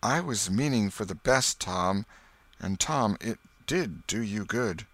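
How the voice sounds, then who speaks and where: A man voicing a stern but soft-hearted old aunt who scolds and exclaims. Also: medium pitch, sing-song, normal speed, no room sound, exaggerated voice. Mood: softened.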